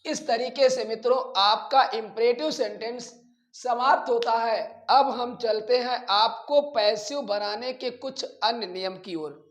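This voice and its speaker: An Indian man with a strikingly high voice lectures as a grammar teacher. He goes at a very unhurried pace, restating key phrases in a deliberate, didactic way. The sound is roomy and echoing. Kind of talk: classroom lecture explaining rules bilingually.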